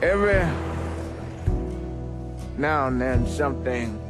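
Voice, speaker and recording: A solemn storyteller reflects wearily for a game. The delivery is slow and halting. Loud music swells underneath. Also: male voice, mid-range voice, expressive. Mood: solemn, wistful. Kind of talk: dramatic monologue in a game.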